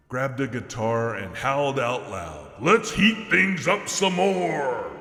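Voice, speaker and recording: A man giving a dramatic reading of a horror poem as narrator, in a theatrical, deliberate, rhyming delivery. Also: lowish voice, fairly bright tone. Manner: theatrical, deliberate, measured in rhyme. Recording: echoey reverberant hall effect, faint music. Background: faint music.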